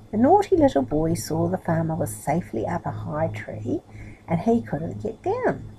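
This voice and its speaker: A woman's voice: mid-range voice, vocal fry, mellow, slight background noise, low-quality recording. A woman reading a children's story aloud expressively, with chatty asides to a young listener. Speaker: storybook reader.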